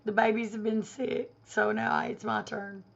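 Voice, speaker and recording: Hoarse, indignant woman ranting. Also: fairly high pitch, flat delivery, moderate pace, muffled recording. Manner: indignant, emphatic. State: losing her voice with a cold. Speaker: vlogger. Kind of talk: opinion rant to camera.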